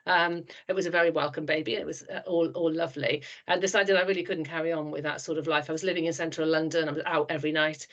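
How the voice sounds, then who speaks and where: A woman's voice: sits low, fairly even intonation, fast talker, hardly any pauses. A woman in her fifties from South Wales with a Welsh accent, chatting frankly as a podcast guest, with frequent restarts. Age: 50s. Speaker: trust board chair, guest. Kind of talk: podcast interview.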